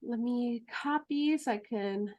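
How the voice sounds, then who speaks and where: A woman teaching an herbalism class in a relaxed, chatty way, then leading a guided meditation. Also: on the high side, slow pace. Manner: relaxed, chatty, laughs easily.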